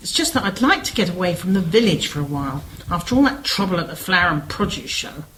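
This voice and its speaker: A woman's voice: fairly low pitch, very animated, fast, a bit bright. A woman playing a chatty, inquisitive village woman in an amateur radio play. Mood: chatty, teasing. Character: chatty, inquisitive village sister seeking company in London. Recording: slightly noisy amateur radio recording.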